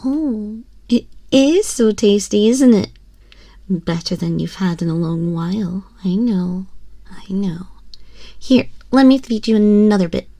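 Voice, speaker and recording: A woman's voice: medium pitch, expressive, mellow, no room sound. A woman voicing a haughty, teasing, domineering young empress in an ASMR roleplay, condescending and often dropping toward a whisper. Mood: cooing, smug. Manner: condescending, slow, breathy purring with whispers. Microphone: close binaural microphone.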